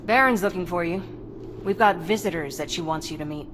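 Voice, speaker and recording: A woman's voice: on the low side, brisk, a bit bright, close to an everyday voice, low-quality recording. An actress playing a friendly female resistance fighter in a video game, informal and teasing. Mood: casual. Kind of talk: video game cutscene acting. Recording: clear ambient noise behind.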